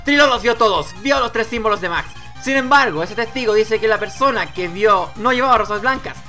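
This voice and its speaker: A man's voice: sits high, fairly quick, sharp tone, faint music behind, voice pushed up. A man voicing an earnest, determined young defence lawyer in a courtroom video game, arguing insistently. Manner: insistent, argumentative.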